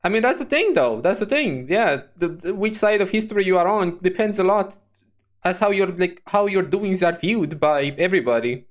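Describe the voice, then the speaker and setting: A woman's voice: on the low side, expressive, moderate pace. A woman reacting to a video as a VTuber, musing aloud in a casual, hesitant, repetitive way.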